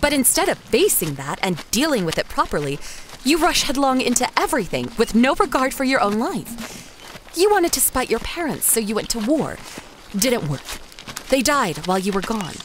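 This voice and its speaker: A woman acting as a sharp-witted archaeologist in an audio drama, with a dry, blunt, incisive way of talking. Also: on the high side, very animated, normal speed, a little hiss or hum, poor audio. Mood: blunt, exasperated.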